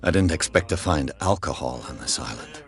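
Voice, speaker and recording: A persistent investigator from the mainland, polite but pressing. His voice sits very low and stays measured as he asks one question after another. He sounds like a character in a narrative video game. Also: lively intonation, vocal fry, heavily acted. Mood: probing. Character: inquisitive male investigator from the mainland.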